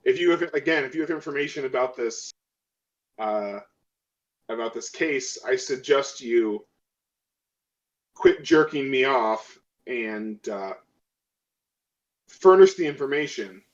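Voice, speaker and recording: Man as female colonial marshal, sardonic, measured, guarded, tabletop role-play, medium pitch, muffled recording. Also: animated. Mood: curt, impatient. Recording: dull lo-fi audio.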